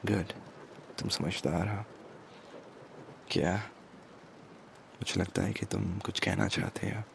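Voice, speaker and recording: Man acting a slow, gravelly mafia boss. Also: steady tone, a little hiss or hum, full character voice. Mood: calm, controlling. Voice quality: low, gravelly, breathy at times. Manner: slow, hushed, deliberate, mixing English words into speech. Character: commanding yet protective male mafia boss, tender with his captive. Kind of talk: ASMR romance roleplay.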